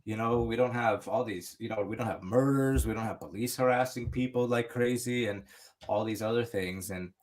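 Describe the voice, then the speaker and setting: A man's voice: on the low side, normal speed, a little mellow. Man, casual podcast storyteller, loose and rambling, lots of restarts. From United States. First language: English. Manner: rambling, many restarts and "you know". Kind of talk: casual chat.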